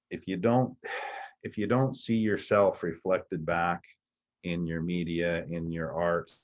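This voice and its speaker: Male community director, very deep, earnest, restarts his phrases. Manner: earnest, repeats words as he thinks. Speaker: community safety organization director. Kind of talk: documentary interview.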